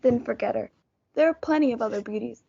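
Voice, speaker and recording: A woman's voice: fairly high pitch, fast, vocal fry, mellow, breathy edge. A female student performer playing a friendly, practical young man who keeps the peace in a reader's theatre play. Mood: coaxing. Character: young male Montague, friendly peacemaking cousin, coaxing and practical. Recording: dull, muffled lo-fi audio.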